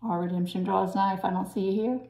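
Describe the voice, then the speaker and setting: Raspy woman preaching in an echoey room. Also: medium pitch, fairly even intonation, quick pace, mellow. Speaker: channel host preaching. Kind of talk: informal preaching to viewers.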